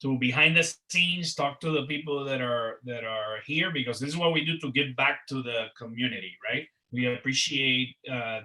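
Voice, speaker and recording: A man with a very bright, sharp voice gives closing thanks on an online panel. He rambles, repeating words and leaning on "uh" and "you know." He sounds like he is on a video call. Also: mid-range voice, normal speed, hardly any pauses, poor audio. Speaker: organizer of a career network panel. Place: Miami.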